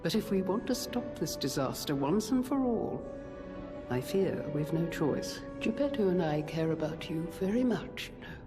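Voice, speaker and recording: Game elder for an actress: gracious frail older woman, low and tender, in long reverb with music. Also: expressive, moderate pace, lightly acted. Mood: concerned. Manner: gentle, wistful. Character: frail, gracious older lady, gentle and caring. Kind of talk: video game character acting.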